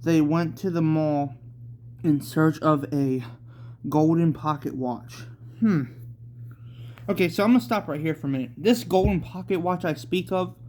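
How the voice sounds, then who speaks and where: An amateur storyteller narrates slowly and haltingly, with informal asides. The tone is a little muted, and there is faint noise behind the voice. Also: mid-range voice, a little mellow.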